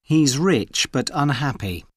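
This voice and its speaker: A man reading short example sentences clearly for a language course. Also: mid-range voice, no room sound. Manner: slow, clear, expressive reading. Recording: dry studio recording.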